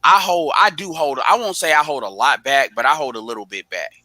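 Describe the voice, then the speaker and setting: A man's voice: fairly high pitch, fairly quick, pushed and bright, no room sound. A man hosting a live audio stream in casual chat, blunt and profane, talking straight at his listeners.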